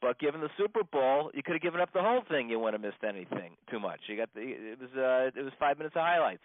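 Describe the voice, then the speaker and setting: A man, a rabbi, giving an informal religious lesson in a halting, conversational way, repeating points for emphasis. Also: medium pitch, animated, no room sound. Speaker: rabbi teaching a class. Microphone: phone. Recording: muffled band-limited phone conference call.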